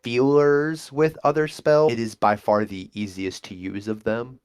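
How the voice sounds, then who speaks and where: A man's voice: medium pitch, steady tone, measured pace, smooth. A man guesting on a casual interview, rambling enthusiastically and acting out little exchanges. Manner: enthusiastic, rambling, acts out quotes. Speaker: guest, monk class player.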